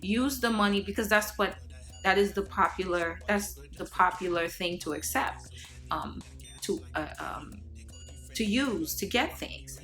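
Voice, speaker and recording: Haitian-born woman preaching to her viewers, bright tone, lots of ums, faint music behind. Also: medium pitch, measured pace. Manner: earnest, preachy, many fillers and restarts. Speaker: vlogger. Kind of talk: casual monologue to camera.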